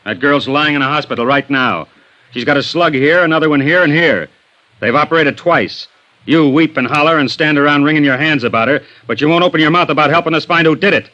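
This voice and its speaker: An actor playing a gruff, impatient male police sergeant who bullies and needles witnesses, in a 1950s radio drama. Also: a little high, brisk, pushed and bright. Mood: badgering. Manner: bullying, sarcastic interrogator. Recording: dull lo-fi old radio recording.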